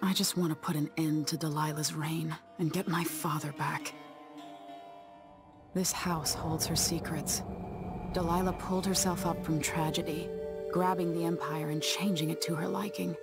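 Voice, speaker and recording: An actress playing a deposed young empress in a video game, resolute and guarded, speaking in a measured, cool way. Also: female voice, low voice, steady tone, music in the background. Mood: reflective, resolute.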